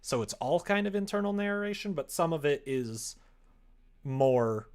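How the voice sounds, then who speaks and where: A man chatting casually on a livestream as he works at a computer, thinking out loud and joking as he goes. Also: fairly high pitch, animated, unhurried. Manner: musing aloud, joking, reads chat and drafts aloud. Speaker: streamer writing a visual novel. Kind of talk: casual livestream chat while writing. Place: indoors at a computer desk.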